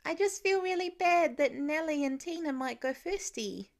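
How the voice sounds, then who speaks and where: A woman's voice: sits high, expressive, moderate pace, voice pushed up. A woman voicing a small, earnest, eager boy with a childish lisp in a story reading. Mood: guilty, earnest.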